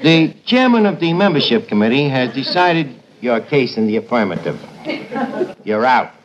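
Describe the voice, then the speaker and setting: An actor playing a blunt, mock-formal tavern manager in an old radio sitcom, before a studio audience. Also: male voice, mid-range voice, sing-song, normal speed, a crowd in the background, lightly acted. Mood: wry. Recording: muffled, very noisy 1951 radio recording. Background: loud studio audience.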